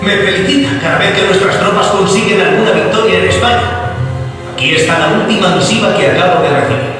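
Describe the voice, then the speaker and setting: An actor playing a haughty, scornful, impatient emperor in a dramatized reading. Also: male voice, high-pitched, fairly quick, lightly acted. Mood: scornful, irritated. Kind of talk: dramatized stage reading, radio-play acting. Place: live reading in a reverberant venue. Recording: echoey hall from far off, music behind.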